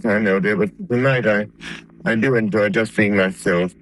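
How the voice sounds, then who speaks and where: An actor voicing a suave, wealthy man-about-town in a 1930s radio drama. He speaks in a smooth, urbane and assured way, with a somewhat low voice, and a faint hiss sits under the old recording. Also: normal speed, natural voice.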